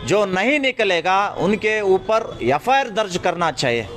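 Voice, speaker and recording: High-voiced man making a press statement. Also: big pitch swings, unhurried, fairly bright tone, faint music behind.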